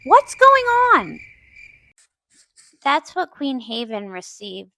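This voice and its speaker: A woman's voice: on the high side, wildly swooping pitch, slow, bright, forceful tone, no room sound, full character voice, rough recording. A woman voicing an earnest, worried young pony princess in a cartoon fan dub, speaking emotively. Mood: puzzled.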